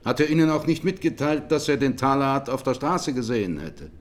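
A man's voice: mid-range voice, lively intonation, natural voice. Formal, probing courtroom judge played by a man. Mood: sober questioning. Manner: formal, measured, insistent questioning. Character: presiding judge in a 1920s Berlin murder trial, sober, probing. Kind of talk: German radio drama, courtroom questioning.

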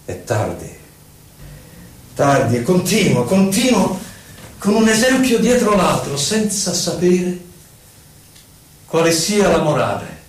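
A man gives a solemn, theatrical poetry recital, declaiming in short phrases with pauses between them. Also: sits high, sing-song. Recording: echoey, distant, noisy live radio broadcast.

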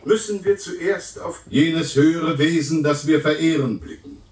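An actor playing a pompous male essayist giving a solemn, halting lecture on art, heard as a tape in a radio play. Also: on the high side, darkish tone, natural voice. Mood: grandiloquent. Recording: echoey, distant, noisy tape playback.

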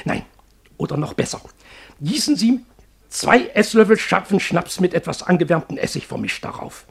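A creaky, complacent, higher-voiced doctor. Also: male voice, animated, heavily acted. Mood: officious, advising. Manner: deliberate, complacent, professional. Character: unhelpful, complacent male doctor, blandly reassuring and officious. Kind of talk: German radio drama acting.

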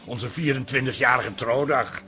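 An actor playing a jovial, teasing husband in a Dutch café radio play, familiar and boisterous. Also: male voice, medium pitch, normal speed, background noise, natural voice. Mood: genial.

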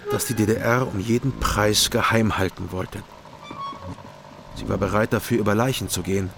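A troubled ex-policeman narrates in a low voice thick with creak. The delivery is sombre and restrained radio-drama storytelling, with clear music underneath.

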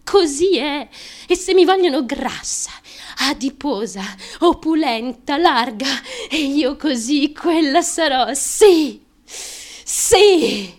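An actress playing a fame-hungry, fragile and determined young woman in an intense confessional stage monologue, with a deliberate, emphatic and halting delivery. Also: high-pitched, lively intonation. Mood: fierce, determined. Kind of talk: intense theatrical monologue, confessional stream of consciousness.

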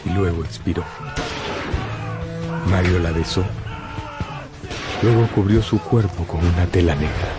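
A man's voice: low-pitched, a little slow, pauses often. A man narrating a radio drama in a scripted, dramatic storytelling style. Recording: prominent music under the voice.

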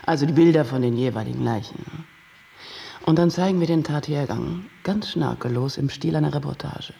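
A slick, pushy TV producer in a radio drama. His voice sits fairly high for a man and crackles with fry, and he sells everything in grandiose, emphatic phrases. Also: a little fast, mellow. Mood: grandiose pitching. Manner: grandiose sales-pitch talk, emphatic. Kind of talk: German radio play acting.

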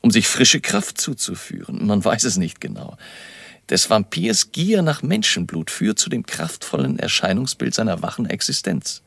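An actor playing a grave, authoritative baron who hunts vampires, speaking formally and in a lecturing way in a radio drama. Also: male voice, on the low side, big pitch swings, moderate pace, creaky, a bit bright, no room sound, clean studio sound, exaggerated voice. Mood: lecturing, solemn.

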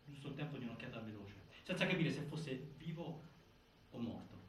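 Casting: male actor as grave stage narrator, mid-pitched, deliberate segmented phrasing, heavily reverberant room. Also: animated, a bit bright, close to an everyday voice. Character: grave male narrator laying out a prison-death inquiry. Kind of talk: dramatic scripted stage narration. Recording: very echoey, distant, faint noise.

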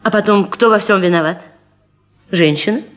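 A woman presenter with a husky voice, delivering a humorous, theatrical radio monologue with ironic, rhetorical emphasis. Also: mid-range voice, normal speed. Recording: 1970s radio recording, faint music.

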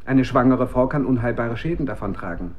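A man's voice: medium pitch, expressive, fairly quick, phone-quality sound, lightly acted. An actor playing a disillusioned male scientist in a radio drama, reflective, hesitant and articulate. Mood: troubled, reflective. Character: brooding, disillusioned male scientist at a weapons works. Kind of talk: German radio drama acting.

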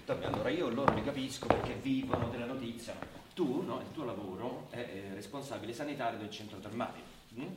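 An actor playing a suspicious, domineering town mayor in a radio play, insistent and confrontational. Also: male voice, medium pitch, fast talker, natural voice. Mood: reproachful. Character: domineering, suspicious male town mayor, rule-bound and nagging. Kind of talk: naturalistic Italian radio play acting. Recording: echoey, distant radio theatre recording with noise.

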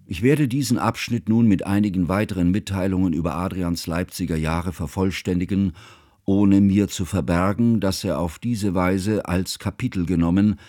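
Anxious scholarly guy narrating a radio drama, low voice, very formal, dry sound. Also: moderate pace, dark tone, no room sound. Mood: reflective, measured. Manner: formal, scholarly, ornate phrasing. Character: bookish, anxious man narrating his friend's life story.

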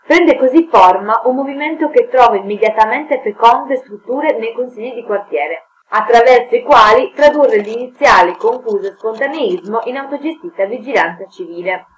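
A woman's voice: fairly high pitch, slight background noise, poor audio. A woman reading a scripted historical documentary for radio in a formal, written-text manner. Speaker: radio programme narrator.

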